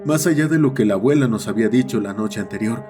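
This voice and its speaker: A man playing a schoolboy who narrates his own horror story in a measured, serious first-person style. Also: mid-range voice, over music, lightly acted. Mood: sombre.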